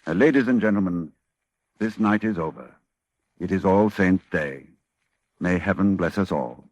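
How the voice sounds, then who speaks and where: An actor playing a grave, learned occult scholar with a solemn, halting delivery in 1940s radio drama style. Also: male voice, sits low, darkish tone, no echo, lo-fi sound. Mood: solemn, weary.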